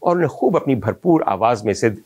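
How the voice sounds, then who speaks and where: A man's voice: mid-range voice, animated, fairly quick, darkish tone, breathy edge. A man from Pakistan, telling the history of old film songs in an ornate, reminiscing manner and speaking directly to his audience. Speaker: film music historian host. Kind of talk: storytelling music history talk.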